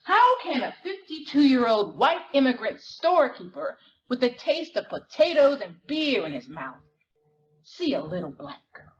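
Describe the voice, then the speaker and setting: A woman reading literary fiction dramatically, in measured, deliberate phrases with weighted pauses. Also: high-pitched, big pitch swings, highs cut off. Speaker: dramatic reader at a library performance. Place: live reading at a library event.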